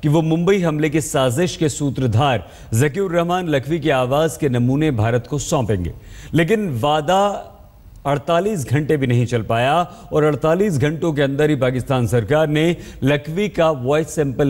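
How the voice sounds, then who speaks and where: A man's voice: on the high side, normal speed, darkish tone, big-room sound. A man with an Indian accent presenting scripted news as an anchor.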